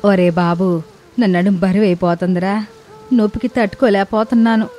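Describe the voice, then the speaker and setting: A woman's voice: medium pitch, fairly even intonation, normal speed, warm tone, close to an everyday voice. A woman voicing a scolding, dramatic old grandmother who grumbles and complains in a family story. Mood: complaining.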